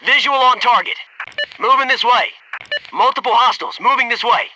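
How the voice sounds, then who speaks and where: An actor playing a cocky, keen young military squadmate in a video game, giving short clipped combat callouts. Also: male voice, extremely high pitch, moderate pace, noisy background. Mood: businesslike.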